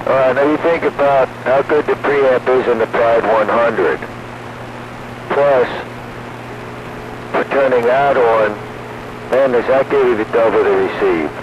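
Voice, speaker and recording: A man's voice: mid-range voice, unhurried, pauses often. Man on a noisy, muffled radio link. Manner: explanatory, insistent, tells anecdotes. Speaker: radio hobbyist. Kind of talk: casual technical chat over two-way radio. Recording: noisy band-limited two-way radio audio.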